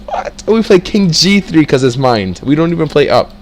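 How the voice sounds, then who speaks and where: A young man teaching chess in a casual way, reading out moves and breaking into excited exclamations as he works through the position. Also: fairly high pitch, moderate pace, slight background noise. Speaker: chess tutor. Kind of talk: casual screen-share lesson, thinking aloud.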